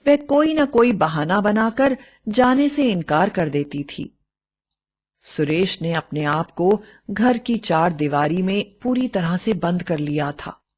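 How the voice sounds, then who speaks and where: Slow-paced woman storyteller. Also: on the low side, lively intonation, darkish tone. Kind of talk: scripted narration of a moral bedtime story.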